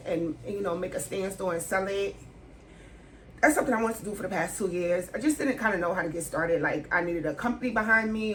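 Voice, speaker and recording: A woman, chatting candidly in a sit-down Q&A vlog, slangy and quick to laugh. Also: on the low side, a bit bright, slight background noise. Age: 30s. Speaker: vlogger. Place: indoors at home, sit-down setting.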